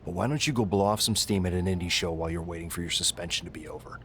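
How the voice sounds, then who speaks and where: A man's voice: sits low, very fast, fairly bright tone, a little hiss or hum. An actor playing a stern but fatherly wrestling general manager, firm and dismissive, in a video game cutscene.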